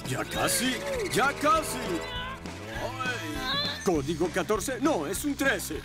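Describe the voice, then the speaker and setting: A man voicing a fussy, officious, excitable police motorcycle in a children's cartoon. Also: very high-pitched, slow pace, loud music behind the voice, exaggerated voice. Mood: straining, flustered. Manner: officious, flustered, quotes regulations. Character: fussy rule-quoting male police motorcycle, adult, excitable. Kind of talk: children's cartoon dub acting.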